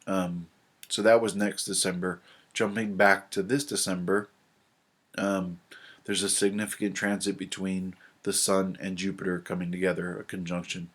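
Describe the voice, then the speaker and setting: A man's voice: low voice, level tone, normal speed, fairly bright tone. A man with a stuffed-up, nasal voice from a cold, talking casually to camera and reading from his notes with many ums and restarts. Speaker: astrology video presenter.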